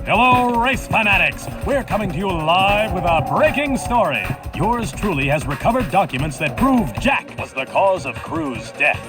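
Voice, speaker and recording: An actor voicing a slick, theatrical television race host in a video game, smarmy and hungry for sensation. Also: male voice, high voice, very animated, moderate pace, hardly any pauses, music in the background. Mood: showy, excited. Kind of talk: animated video game character acting.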